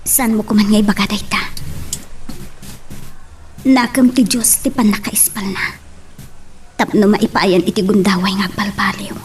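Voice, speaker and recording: A woman's voice: medium pitch, fairly even intonation, measured pace, pauses often, lightly acted. An actress playing a heartbroken young woman, devoted and pleading, in an Ilocano radio drama. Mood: defensive, sad. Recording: music under the dialogue.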